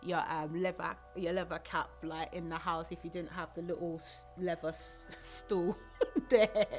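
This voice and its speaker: A woman's voice: on the low side, steady tone, a little slow, faint music behind. A woman, talking about her heritage in a documentary interview. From South London. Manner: chatty, many fillers like "like" and "um". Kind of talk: personal testimony.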